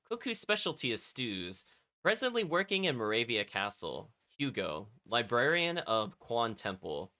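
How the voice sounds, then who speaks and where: Animated, bright-voiced male game streamer. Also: medium pitch. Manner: chatty, often commenting as an aside. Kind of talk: casual livestream commentary, reading game text aloud.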